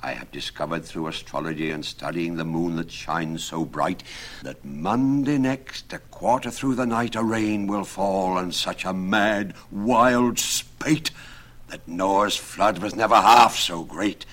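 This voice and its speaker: A man's voice: low-pitched, a little slow, fairly bright tone. A man voicing a sly young scholar who confides and warns portentously in a dramatised verse tale. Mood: secretive, ominous. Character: sly, scheming young male scholar, mock-solemn.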